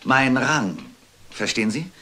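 Proud old naval villain, swooping intonation. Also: male voice, medium pitch, lively intonation, measured pace, bright, forceful tone. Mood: bitter, indignant. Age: older. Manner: formal, clipped, self-important. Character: proud, embittered retired naval commander, a villain. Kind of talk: German detective radio play acting.

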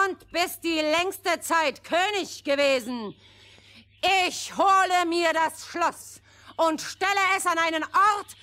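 A greedy, scornful young princess in a radio fairy tale. She orders people around in a very high, sharp voice, at a very slow, drawling pace. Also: full character voice.

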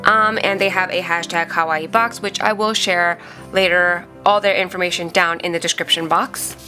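Young woman, sick and nasal, chatty unboxing vlogger, crisp bright tone over faint background music. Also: lowish voice, steady tone, moderate pace. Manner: chatty, thinking aloud with fillers. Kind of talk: casual unboxing review. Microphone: off-camera voice over hands-only tabletop shots.

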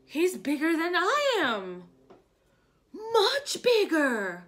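A woman voicing a small, earnest, excitable animal friend from a picture book, speaking emphatically. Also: very high-pitched, drawn-out words, pitched above the performer's own voice, full character voice. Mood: overwhelmed. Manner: emphatic, exclaiming.

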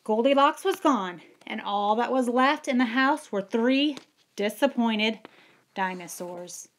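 A middle-aged woman reading a children's story aloud in an expressive, measured and emphatic way. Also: on the high side. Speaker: school librarian and storyteller.

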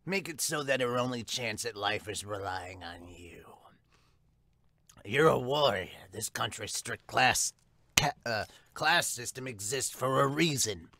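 A man's voice: medium pitch, animated, a little slow, creaky, pushed and bright, dry recording, heavily acted. Man playing a sneering, scheming little mouse henchman. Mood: goading. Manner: sneering, goading.

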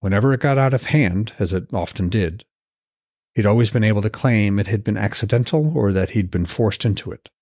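Low-voiced male podcast narrator. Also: fairly quick, a little mellow, dry recording, clean studio sound. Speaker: podcast host and author-narrator. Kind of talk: scripted narration.

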